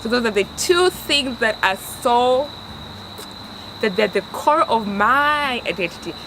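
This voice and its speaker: A woman's voice: fairly high pitch, a little slow, poor audio. A woman in her twenties from Tanzania, with a Tanzanian accent, giving earnest life advice in a casual vlog and often restarting her sentences. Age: 20s. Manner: earnest, restarts often, stresses words for emphasis. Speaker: vlogger. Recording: steady background noise.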